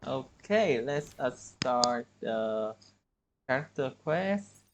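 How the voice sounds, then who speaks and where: A man giving casual livestream commentary on a game, with halting, hesitant phrasing. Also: mid-range voice, expressive, background noise. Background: game music.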